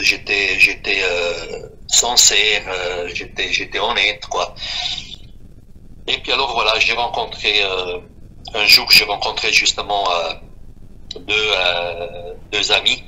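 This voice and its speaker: A man of fifty-six from Switzerland speaks in a halting, repetitive way as he gives an unscripted personal testimony. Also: lowish voice, bright, forceful tone, rough recording. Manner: halting, repeating himself. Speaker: guest giving a personal testimony.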